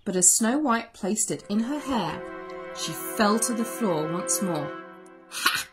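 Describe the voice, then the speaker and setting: A woman reads a children's tale aloud as host. Her delivery is expressive and unhurried, at a medium-low pitch for a woman or near the middle, with music behind her. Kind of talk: expressive read-aloud story narration.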